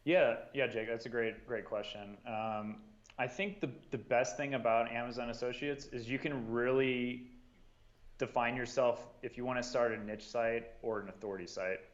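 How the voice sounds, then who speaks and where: A man at an ordinary middle pitch, talking through advice in a relaxed, easygoing way and leaning on "um" and "you know" as he goes. His audio sounds a little dull and muffled, like a remote call. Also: moderate pace. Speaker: guest, marketing and sales coordinator. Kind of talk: casual podcast discussion.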